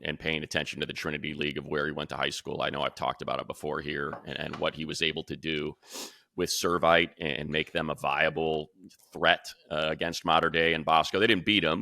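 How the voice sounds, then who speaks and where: A man's voice: extremely low pitch, gravelly, bright, forceful tone, no room sound, studio quality. A man hosting a sports show, giving analysis in an emphatic, conversational way as he thinks aloud.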